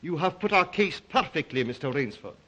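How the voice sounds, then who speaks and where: An actor playing a suave, obsessive Russian count and hunter, speaking with a Russian accent in a deliberate, theatrical, old melodrama manner. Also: male voice, fairly high pitch, expressive, pushed and bright. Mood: suave, eager. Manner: theatrical, deliberate, brooding, courtly. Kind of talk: 1930s film-era melodramatic acting.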